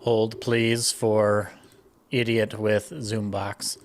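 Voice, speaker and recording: A man's voice: on the low side, flat delivery, extremely slow. A man acting as technical moderator on a video call, casually talking through live troubleshooting. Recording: noisy video-call audio, clicks.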